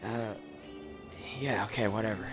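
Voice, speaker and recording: A man's voice: lowish voice, steady tone, a little slow, faint music behind, lightly acted. A man playing a nervous, apologetic killer in a darkly comic radio play, stammering and rambling with plenty of swearing. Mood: agitated, apologetic. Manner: stammering, hesitant, rambling, foul-mouthed.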